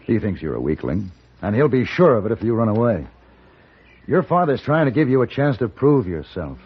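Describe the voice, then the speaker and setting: Steely western gunman, low voice that swings around, terse n firm, old muffled radio recording. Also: sing-song, husky. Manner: measured, terse, authoritative. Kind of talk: 1950s radio Western acting.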